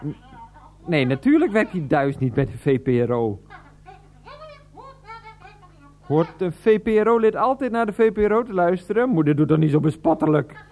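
A man's voice: on the high side, animated, fairly quick, darkish tone. A man playing a flustered adult son who stammers and keeps getting exasperated with his mother on the phone, in a 1990s radio play. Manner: stammering, exasperated, bursts into laughter. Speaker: character in a radio drama.

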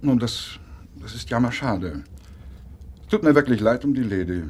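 An actor playing a suave, smug blackmailer in a radio drama, speaking with unctuous mock politeness and drawn-out hesitations. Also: male voice, medium pitch, lively intonation, darkish tone, slightly rough. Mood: mock regret, smug. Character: suave, smug male blackmailer, oily and coolly menacing.

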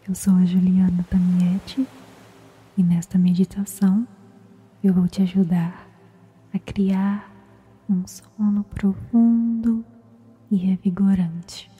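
A woman with a Brazilian accent softly whispers a guided sleep meditation in a slow, soothing, gentle manner. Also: mid-range voice, flat delivery, lo-fi sound. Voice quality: breathy, whispery. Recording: music behind the voice. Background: meditation music.

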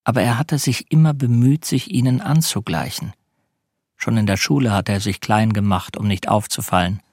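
An actor narrating a radio play crime story in a measured, sombre, literary manner. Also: male voice, fairly low pitch, darkish tone, no echo, clean studio sound. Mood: sombre, reflective.

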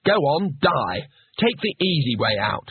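A man's voice: a little high, big pitch swings, unhurried, husky, phone-quality sound, heavily acted. A man voicing a naive, literal-minded, helpful fellow who speaks earnestly and bluntly in an audiobook fantasy story. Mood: goading.

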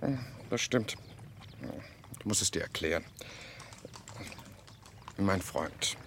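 Jovial cynical parisian schemer in a radio play, low creaky voice, sardonic and theatrical. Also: male voice, lively intonation, slow pace, a bit bright, slight background noise, full character voice. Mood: confiding, wry. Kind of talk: radio drama acting.

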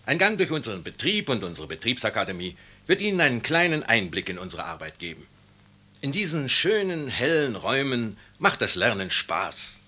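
Bright, animated, officious factory director. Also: male voice, mid-range voice, normal speed. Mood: proud. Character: proud, officious factory director being interviewed. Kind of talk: stiff official interview statement in a radio play.

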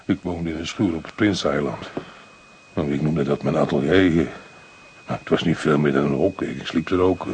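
An actor in a radio play, playing a distraught, guilt-ridden poor painter who stammers, halts and repeats himself. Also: male voice, extremely low pitch, moderate pace, a little mellow, slight background noise. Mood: troubled. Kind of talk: Dutch radio play acting.

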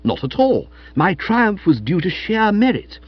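Man playing a breezy young English toff, very animated. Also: sits high, unhurried, no room sound, dull audio, pitched above the performer's own voice, heavily acted. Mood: breezy, boastful. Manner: breezy, florid, upper-class slang. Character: chatty, foppish, cheerfully pompous young English gentleman. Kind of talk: audiobook character voice.

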